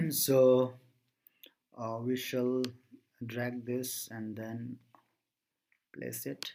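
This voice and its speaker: A man gives an informal screen-recorded software tutorial at a slow pace. He talks through each step aloud as he goes, sounding like someone working at the task while explaining it. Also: mid-range voice, background noise. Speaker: app tutorial presenter.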